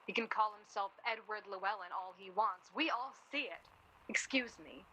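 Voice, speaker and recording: A woman's voice: medium pitch, normal speed, background noise, lightly acted. A young woman playing a sardonic, blunt student in a naturalistic period radio drama, with a dry, needling way of talking. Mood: pointed. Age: young adult.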